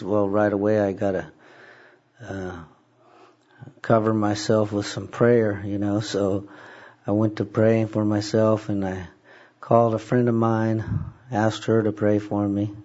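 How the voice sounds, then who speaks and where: Hoarse, slow-talking man at church. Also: fairly low pitch, little pitch movement, mellow. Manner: halting, many fillers and pauses. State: hoarse, raspy voice. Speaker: church service leader. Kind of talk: casual talk from the pulpit. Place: church service. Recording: dull lo-fi church recording.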